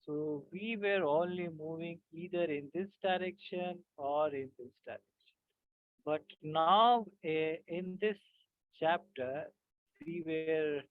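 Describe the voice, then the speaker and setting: A male teacher leads an online physics class in a slightly raised voice. He talks slowly and haltingly, filling gaps with "uh" and checking in with "right?" The sound is thin and phone-like, with no room echo. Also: fairly high pitch. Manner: halting, many fillers, keeps checking with "right?". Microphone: video call. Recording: tinny dry call audio.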